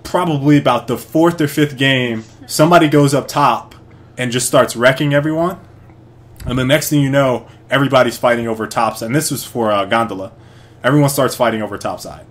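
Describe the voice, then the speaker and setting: A man's voice: medium pitch, a little fast. A man hosting a casual online voice chat, talking hesitantly with fillers about a game. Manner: hesitant, many fillers and restarts. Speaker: stream host. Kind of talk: casual group chat over voice call.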